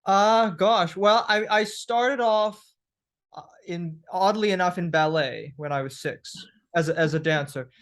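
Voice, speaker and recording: A man's voice: high voice, a little slow, fairly bright tone. Thoughtful, hesitant man from Thailand. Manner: thoughtful, hesitant, many restarts. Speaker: guest musician. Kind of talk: interview.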